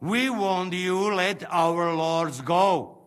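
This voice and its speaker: A man's voice: high-pitched, extremely slow, clear, resonant tone. An actor playing a brash male mercenary who speaks broken English, coaxing and persuasive in a video game cutscene.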